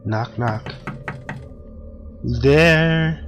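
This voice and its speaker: A man hosting a horror game playthrough, gravelly and a bit hoarse from allergies, joking and talking back to the game. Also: mid-range voice, extremely slow. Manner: jokey, talks to the game, gasps at scares. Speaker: gaming channel host. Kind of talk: casual horror game commentary. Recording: dull lo-fi audio with game music. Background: game music.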